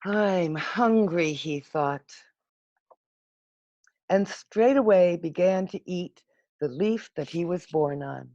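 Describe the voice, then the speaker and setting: A woman reading a children's storybook aloud in an expressive, unhurried manner with dramatic pauses, over a video call. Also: on the low side, no room sound. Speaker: storybook reader. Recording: muffled, lo-fi video call audio.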